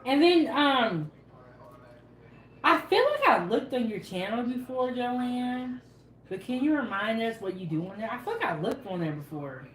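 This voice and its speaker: A woman's voice: a little high, animated, measured pace. A woman co-hosting a live cooking stream, chatting casually, reading viewer comments and laughing often. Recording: echoey room.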